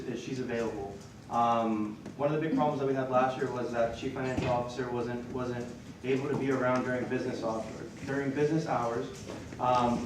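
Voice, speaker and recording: Young man presenting in an echoey hall. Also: mid-range voice. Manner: hesitant, many ums and restarts. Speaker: student government official presenting a nominee. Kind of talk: formal nomination speech. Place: large echoey meeting hall. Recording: echoey hall, far from the mic, noisy.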